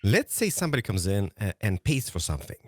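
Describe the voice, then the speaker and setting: A man chatting casually while gaming with a friend, excitable and prone to exclaiming and repeating words. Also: on the low side, fast talker, dry recording. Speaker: gaming collaborator. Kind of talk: casual chat while playing a game.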